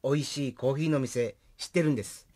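A man's voice: mid-range voice, fast, dark tone, natural voice. A man playing an eager, sociable accountant in a comic radio drama.

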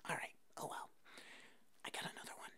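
A woman doing an ASMR roleplay as an easygoing puppet hairdresser, speaking in a soft, breathy whisper with short, reassuring phrases. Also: fairly quick. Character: fuzzy monster puppet hairdresser, attentive and easygoing.

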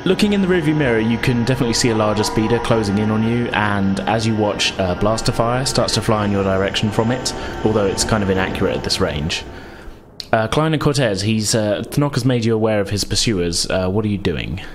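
A man with a fairly low voice acts as game master, narrating scenes and calling for rolls in a tabletop role-playing game. Music plays clearly behind him. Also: a little fast. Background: background music.

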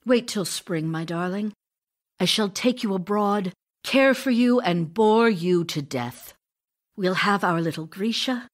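A woman's voice: mid-range voice, animated, a little slow. A woman playing a vivacious, self-dramatizing actress wife in an audio drama, effusive and teasing, devoted yet restless. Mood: tender, guilty. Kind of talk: audio drama acting, reading love letters.

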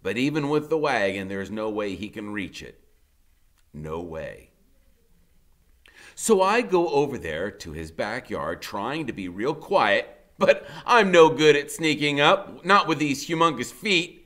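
A man's voice: medium pitch, sing-song, fairly bright tone. An American man in his fifties, a teacher reading a first-person story aloud with dramatic, conversational emphasis. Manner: dramatic, conversational, drawn-out emphasis on key words. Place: indoor classroom in front of a whiteboard.

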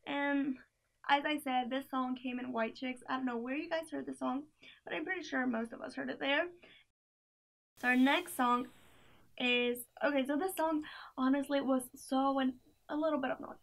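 A young woman talks through a playlist in a relaxed, chatty way, stacking up fillers and small giggly asides. Her voice sits high and carries plenty of gravelly fry. She is recorded on a camera mic in a small indoor room. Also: moderate pace. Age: young adult. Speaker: playlist reviewer. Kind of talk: casual chat.

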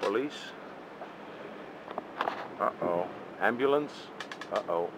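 A man's voice: fairly low pitch, expressive. A man with a Dutch accent gives a casual, musing commentary to himself while driving through town, filmed from the dashboard. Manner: slow, musing, talks to himself in short remarks. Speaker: vlogger filming from a car. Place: inside a car in city traffic. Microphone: camera mounted on dashboard. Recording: noisy car interior with engine.

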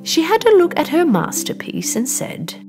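A woman narrating a scripted children's fairy tale in an expressive storytelling style. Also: extremely high pitch, moderate pace, creaky. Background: music.

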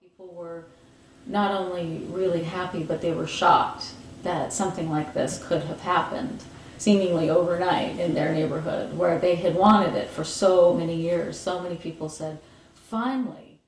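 A woman, answering interview questions thoughtfully with pauses and restarts, seated in a room with bookshelves. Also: on the low side, steady tone, reverberant, distant mic, a little hiss or hum, low-quality recording. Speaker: market organizer.

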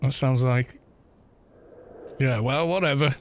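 A man's voice: mid-range voice, slow, a little hiss or hum. A man streaming a game, chatting casually in a rambling, self-deprecating way on a close desk mic.

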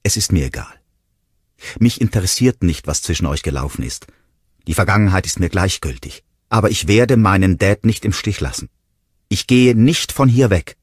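A man's voice: deep voice, expressive, moderate pace, slightly rough, dry recording, studio quality, voice pushed up. A man voicing an angry, defiant daughter who calls out emphatically in a narrated story. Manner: emphatic, calling out. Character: defiant, angry daughter standing up to her parent.

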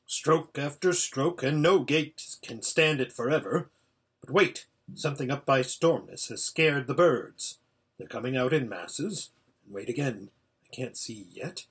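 A man's voice: medium pitch, a little slow, a bit bright, husky, heavily acted. A man voicing an impatient old hermit seer in a storybook, giving an anxious, exclamatory running report. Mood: tense, watchful. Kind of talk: storybook character voice.

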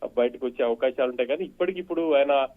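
Halting male reporter on a phone line. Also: medium pitch. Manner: halting, hesitant, broken phrases. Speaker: news correspondent. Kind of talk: live unscripted phone report. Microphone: telephone. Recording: muffled narrow-band phone line.

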